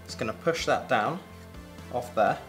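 A young British man co-hosting a casual kitchen gadget test, chatting with animated enthusiasm while he demonstrates. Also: mid-range voice, unhurried, soft background music. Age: young adult. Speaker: co-host. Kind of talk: casual product-testing chat. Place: indoor home studio set at a table.

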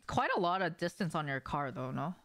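A woman's voice: low-pitched, expressive, fairly quick, fairly bright tone, no echo. A young adult woman casually chatting to viewers as she streams a game, offhand and sweary, often asking questions. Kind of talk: casual chat with viewers while gaming. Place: small room at home, indoors.

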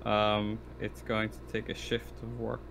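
A man's voice: on the low side, completely flat delivery, moderate pace, creaky, fairly bright tone. A man talking casually as a player in a tabletop game. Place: indoor online voice session. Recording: noisy home recording, background hum.

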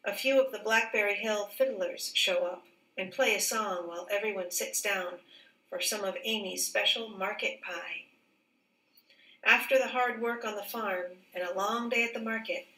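A woman with a bright, forward voice reads a children's book aloud like a teacher, phrasing each sentence carefully and expressively. The recording is roomy and a little distant from the microphone. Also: medium pitch, normal speed.

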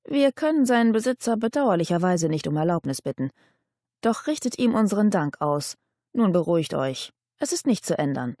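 Audiobook figure: vain gentleman of the court, smooth-mannered and condescending, played by a woman. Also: on the low side, big pitch swings, moderate pace, dry recording, clean studio sound. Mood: smug. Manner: polite, condescending. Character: vain, smug, courtly nobleman.

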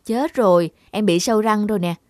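A woman playing a caring young older sister in a cartoon, speaking in a gentle, coaxing manner. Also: medium pitch, brisk, no room sound, studio quality, lightly acted. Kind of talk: cartoon voice acting.

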